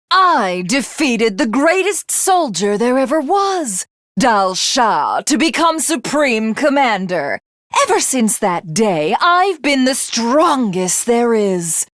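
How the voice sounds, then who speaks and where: A woman voicing a proud, cold warrior commander in a video game, speaking in a formal, commanding and measured way. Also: a little high, no room sound, studio quality. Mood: exultant, boastful. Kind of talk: video game character voice acting.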